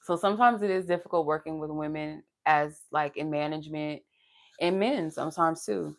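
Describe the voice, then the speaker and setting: Young adult woman, low pleasant voice, frank and profane, casual storyteller, close mic. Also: moderate pace. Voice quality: soothing, pleasant voice. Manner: laughs while talking. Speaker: live tarot reader. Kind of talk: casual live-stream chat.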